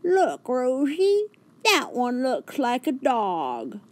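A woman's voice: high-pitched, wildly swooping pitch, drawn-out words, pitched above the performer's own voice, exaggerated voice. A woman voicing a cheerful grown man who owns a dog, speaking with an eager, coaxing manner. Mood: enthusiastic. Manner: enthusiastic, coaxing.

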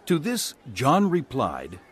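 A man narrating scripture from a script in a measured storytelling manner. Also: mid-range voice, huge pitch swings, slight background noise.

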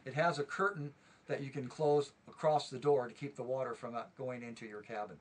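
A man's voice: mid-range voice, fairly even intonation, brisk, recorded from a distance. A man giving a walkthrough tour of a small sailboat cabin, steadily listing its equipment and how it works. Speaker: charter boat staff giving a tour.

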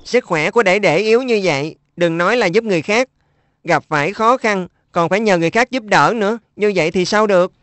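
A woman's voice: mid-range voice, a little fast, no room sound, lightly acted. A woman voicing a caring, earnest older brother who coaxes his little brother in a cartoon dub. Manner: earnest, coaxing.

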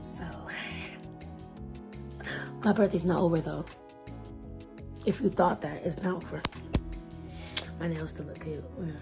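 Hoarse young woman vlogging over music. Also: deep voice, measured pace, pauses often. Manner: chatty, loud and expressive. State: hoarse, voice nearly gone. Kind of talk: casual vlog chat to camera. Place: bedroom at a desk, indoors. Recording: music playing under the voice. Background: lofi music bed.

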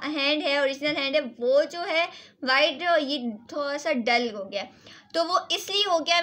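A woman's voice: high voice, sharp tone. A woman casually reviewing and demonstrating products as a beauty vlogger, instructive and repetitive, mixing in English words. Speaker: beauty vlogger and salon owner.

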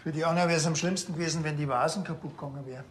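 A man's voice: a little high, steady tone, racing, slight background noise, lightly acted. An actor with a Bavarian accent playing a dry, grumbling, sarcastic policeman in a TV crime comedy. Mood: sardonic. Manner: sardonic, grumbling.

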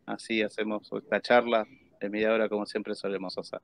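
A man's voice: lowish voice, level tone, a little fast, vocal fry. A middle-aged man with an Argentine accent, hosting casually on a video call. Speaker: radio show host. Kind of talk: casual chat.

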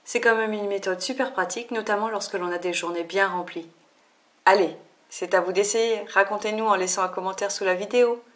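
A woman reading a scripted voice-over for her own cooking video. Her pitch sits in the middle range. Her delivery is clear and upbeat, like a tutorial. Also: steady tone, a little fast. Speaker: cooking channel host.

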